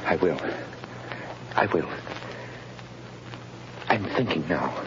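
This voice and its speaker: An actor playing a frightened condemned man who pleads in a strained voice that jumps high, in a 1930s radio drama. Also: lively intonation, slow, dull audio, heavily acted. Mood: desperate. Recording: scratchy 1930s radio recording with hiss.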